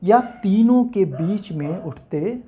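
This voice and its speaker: An actor performs an enigmatic man in a stage monologue, mid-pitched for a male or a little above. He is philosophical and unsure of himself, putting rhetorical questions to the audience. His delivery is reflective, with deliberate pauses. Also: animated, warm tone, highs cut off, a little hiss or hum. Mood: brooding, questioning.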